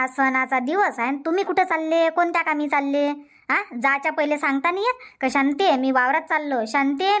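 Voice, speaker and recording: A very high-pitched cartoon housewife, acted by a woman, nags with an aggrieved air. She has a Varhadi Marathi village accent and scolds in repeated, complaining runs. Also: a bit bright. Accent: Varhadi Marathi (Vidarbha). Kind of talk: Marathi comedy cartoon dubbing.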